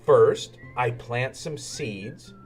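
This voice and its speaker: A man's voice: medium pitch, drawn-out words, darkish tone, faint music behind. A man voicing a kindly, patient old grandfather pig in a children's storybook reading. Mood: patient explaining.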